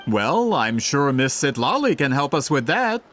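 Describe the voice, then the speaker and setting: A man voicing a polite, persistent, ingratiating merchant in a video game, smooth-talking and theatrical. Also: mid-range voice, big pitch swings, moderate pace, a bit bright, soft background music.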